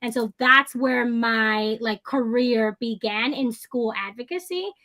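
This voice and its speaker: A woman's voice: on the high side, slow, pushed and bright. A woman in her thirties, raised in the Dominican Republic and New York, a native Spanish speaker, talking casually and enthusiastically as a guest social worker, with many fillers and restarts. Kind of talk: casual interview talk.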